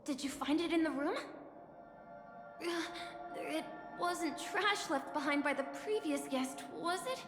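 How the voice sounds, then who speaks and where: An actress playing a gentle, eager, apologetic young female guide who sounds polite and earnest in a video game. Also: high voice, a little slow. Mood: apologetic, timid. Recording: echoey hall reverb, music behind.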